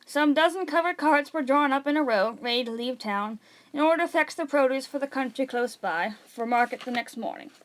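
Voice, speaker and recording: Female amateur storybook reader, high register, narrating with occasional stumbles and self-corrections. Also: fairly quick, low-quality recording. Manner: stumbles over words and corrects herself. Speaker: amateur reader of an audiobook read-along. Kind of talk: read-aloud storybook narration.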